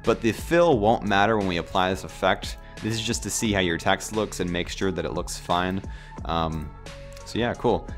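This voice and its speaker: Low-voiced man with a stuffy cold. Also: fairly bright tone. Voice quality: nasal, voice cracking. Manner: rambling, filler-heavy, laid-back. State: sick with a cold, sore throat. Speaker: tutorial host. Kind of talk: casual screen-recorded software tutorial.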